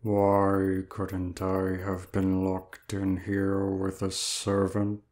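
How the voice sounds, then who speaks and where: A man's voice: low voice, completely flat delivery, drawn-out words, darkish tone. A man playing a weary, self-pitying, lazy male demon in an audio drama, with a listless, mournful drawl.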